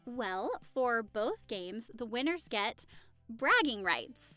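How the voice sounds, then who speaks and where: Woman voicing friendly young party hostess, high voice, unhurried, cheerful, affectionate, audio roleplay. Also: very animated, sharp tone, soft background music, full character voice. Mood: chatty, explaining. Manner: cheerful, chatty, reassuring. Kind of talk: audio roleplay acting, addressing the listener.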